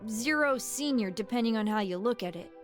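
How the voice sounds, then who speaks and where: A high-voiced youngster acted by a woman in a video game, eager and polite. The delivery is earnest and a little hesitant, with faint music behind. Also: lively intonation, a little fast, close to an everyday voice.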